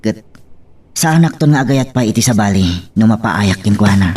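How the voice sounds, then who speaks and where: A man's voice: lowish voice, animated, moderate pace, far from the mic, music in the background, natural voice. A man with an Ilocano accent playing a lovesick, jealous young country suitor in a melodrama. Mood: jealous, determined.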